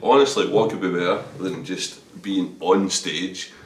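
A very deep-voiced Scottish man talks openly about his life as a drummer, repeating words as he gathers his thoughts. He sits some way from the mic in a reverberant room, with a faint hiss behind him. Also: fairly even intonation, measured pace. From Scotland. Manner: stammering restarts, candid and chatty. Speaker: drummer guest. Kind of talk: casual interview chat. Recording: echoey room from a distance.

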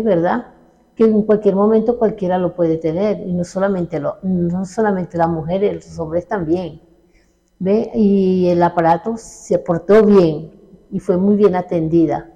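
A woman with a Venezuelan accent giving an informal testimonial, halting and often checking with tag questions. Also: on the low side, mellow. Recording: slightly dull lo-fi sound.

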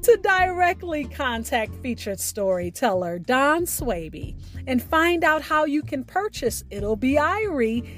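A woman podcast host reading a scripted sign-off with deliberate, emphatic phrasing over instrumental music. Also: high voice, very animated, fairly bright tone. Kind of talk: scripted podcast outro narration. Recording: music bed behind the voice.

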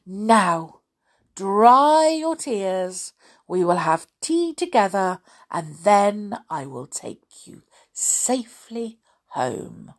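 A woman acts an old storybook granny, caring yet firm. She instructs slowly and emphatically. Her pitch rises and falls a lot as she gently scolds. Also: very animated, exaggerated voice. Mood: firm, comforting.

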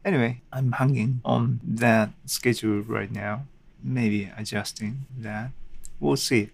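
A Japanese man with a Japanese accent hosting his own solo podcast, thinking aloud unscripted with many pauses and fillers, recorded at home on a desk microphone. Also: medium pitch, steady tone, creaky. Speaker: podcast host. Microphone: desk microphone at a computer.

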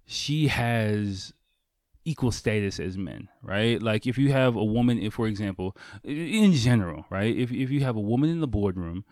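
A man's voice: lowish voice, animated, normal speed, a little mellow, dry recording, studio quality. A man hosting a podcast solo, talking in an informal, conversational way with casual swearing. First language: English. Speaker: podcast host.

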